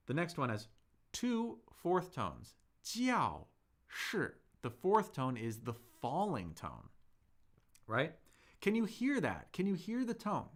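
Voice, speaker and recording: A man's voice: fairly high pitch, huge pitch swings, slow, pauses often, fairly bright tone. A man teaching a live-streamed Chinese lesson, patiently explaining tones, modelling words aloud and answering viewers' chat.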